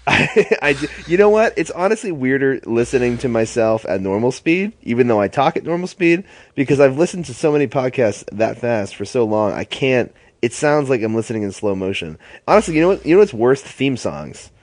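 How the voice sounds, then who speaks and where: A man's voice: mid-range voice, big pitch swings, a little fast. A man with a stuffy, congested voice hosting a casual podcast, laughing and swearing as he bickers with his co-hosts. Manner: laughing often, swearing, playful. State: congested from a cold. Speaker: podcast host.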